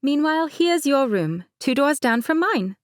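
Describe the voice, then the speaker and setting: A bubbly young bride who gushes and teases in a high, bright lilt, as a woman puts her on. The voice sits well above a plain speaking tone and is recorded close and dry. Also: sing-song, normal speed, no room sound. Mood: teasing. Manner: gushing, teasing, bright.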